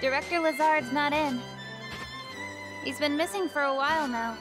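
A woman's voice: high-pitched, moderate pace, lightly acted. An actress playing a composed, knowing young female covert agent in a video game. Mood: matter-of-fact. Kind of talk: video game cutscene acting. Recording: prominent string music behind the voice.

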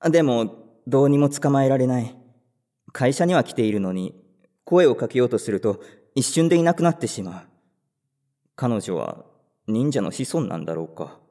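A man's voice: medium pitch, sing-song, moderate pace, mellow. An actor playing a timid, over-considerate young male office worker in a voice drama, hesitant and prone to stammering. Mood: wry, resigned. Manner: hesitant, stammering when flustered. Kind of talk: Japanese voice drama, inner narration and dialogue.

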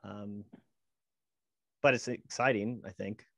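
A man's voice: on the low side, steady tone, slow pace, gravelly, fairly bright tone, no room sound. A man in his forties, a guest comedian, explaining things casually over a video call on a headset, his sentences trailing off. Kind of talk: casual chat. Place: small home room.

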